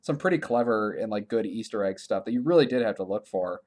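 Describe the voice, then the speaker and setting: A man co-hosting a podcast in casual conversation, informal and thinking out loud as he talks. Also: fairly low pitch, lively intonation, quick pace. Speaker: podcast co-host. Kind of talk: casual podcast chat about games and films.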